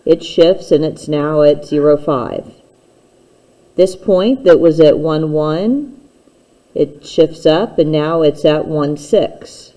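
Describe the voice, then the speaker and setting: Slow-talking mellow woman teaching. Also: low-pitched, low-quality recording. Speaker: math teacher. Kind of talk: instructional math lesson, explaining step by step.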